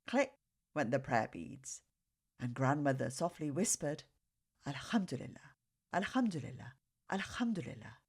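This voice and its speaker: A woman reading a children's story aloud with expressive, dramatic phrasing. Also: sits low.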